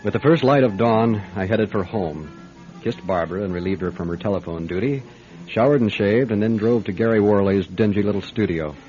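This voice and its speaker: An actor playing a calm, probing private detective in a radio drama, speaking in a measured, wry way. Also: male voice, on the low side, natural voice. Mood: composed. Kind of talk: radio drama acting with first-person narration. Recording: dull band-limited radio drama with music.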